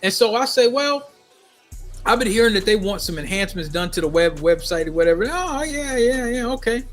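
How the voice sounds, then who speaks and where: A middle-aged man from Minnesota telling a personal story in a casual, animated way, repeating phrases for emphasis. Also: high voice, a little fast, soft background music. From United States, Minnesota. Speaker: storyteller vlogger.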